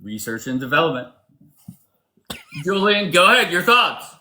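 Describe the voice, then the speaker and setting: A man hosts a casual live-stream debate in a sharp, bright voice. He swears freely and pushes his guests with blunt questions. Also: a little high, very animated, normal speed. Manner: blunt, profane, challenging. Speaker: livestream host.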